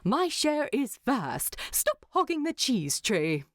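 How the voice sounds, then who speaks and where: A woman's voice: high voice, sing-song, takes it slowly, no room sound, voice pushed up, heavily acted. A woman voicing a weary, wry, fussy male hobbit in a storybook narration, with a dry, teasing manner. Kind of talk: fan fiction narration with character voices.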